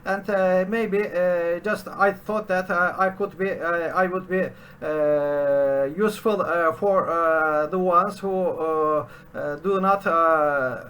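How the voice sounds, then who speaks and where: A middle-aged man with a Turkish accent, a non-native English speaker, teaching programming from a home study, pausing often with "uh" fillers and restarts. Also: high voice, steady tone, a bit bright. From Turkey. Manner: halting, constant "uh" fillers and restarts. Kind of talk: unscripted screen-share tutorial.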